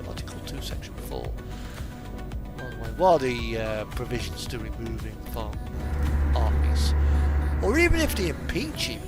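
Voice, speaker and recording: Guy rambling casually, lots of pauses, really up and down voice, loud music going behind him. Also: mid-range voice, wildly swooping pitch. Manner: halting, pausing mid-sentence. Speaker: game streamer. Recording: electronic music playing behind the voice. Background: non-stop music from in-game radio, vehicle sounds.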